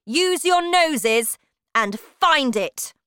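A cruel, commanding witch ruler from a children's storybook, performed by a woman narrator. She draws out her orders with slow menace, in a bright, forceful voice that swings widely in pitch. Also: very animated, dry recording, clean studio sound, heavily acted. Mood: menacing, commanding. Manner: commanding, drawn-out, menacing delivery. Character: imperious, cruel Grand High Witch barking orders at underlings.

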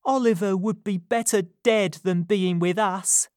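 A woman's voice: mid-range voice, a little slow, darkish tone, dry recording, clean studio sound, pitched above the performer's own voice, lightly acted. Woman doing a bitter weary young woman.

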